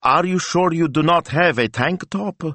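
A timid, slow-witted young sidekick with a raised, hesitant voice, deferring at every turn, as a male storyteller puts him on. The sound is dry and close. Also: fairly high pitch, a bit bright, dry recording, pitched above the performer's own voice.

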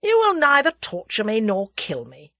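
A woman's voice: a little high, huge pitch swings, moderate pace, sharp tone, no echo, voice pushed up, heavily acted. A woman voicing a cool, cocky young Englishman, scornful and confident as he bluffs his captors in a story. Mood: calm, cocky.

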